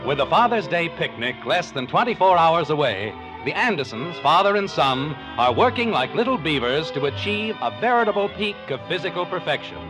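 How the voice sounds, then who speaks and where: A man's voice: fairly high pitch, very animated, normal speed, few pauses, rough recording. A man playing a wry, mock-solemn narrator in a 1950s radio sitcom. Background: music.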